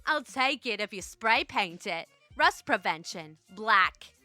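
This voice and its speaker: Woman putting on an entitled, pushy, bright-voiced woman. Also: medium pitch, lively intonation, slow pace, no room sound, soft background music. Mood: pushy, demanding. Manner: presumptuous, pushy. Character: entitled, demanding woman wanting free things. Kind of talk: acted dialogue in story reading.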